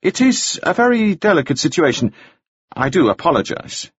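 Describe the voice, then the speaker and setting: A man doing a breezy cheerful gentleman. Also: fairly high pitch, sing-song, normal speed, slightly rough, no echo, lo-fi sound, voice pushed up. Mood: polite. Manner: genial, chatty.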